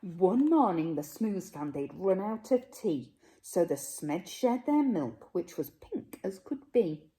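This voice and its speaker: Slow, expressive woman reading a story. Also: lowish voice. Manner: measured, dramatic phrasing for children. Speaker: teacher reading a children's story. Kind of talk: expressive rhyming storybook narration.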